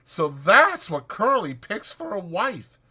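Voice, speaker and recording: A man's voice: sits high, sing-song, slow, bright, forceful tone, breathy edge, pitched above the performer's own voice, heavily acted. A man voicing an irritable, protective ranch hand who scolds brusquely in rural American dialect for a dramatized audiobook. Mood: disgusted.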